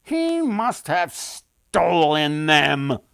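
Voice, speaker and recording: A man voicing suspicious, disbelieving townsfolk in a folktale, with incredulous, drawn-out words. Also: on the high side, big pitch swings, gravelly, a bit bright, clear, resonant tone, no echo, studio quality, heavily acted.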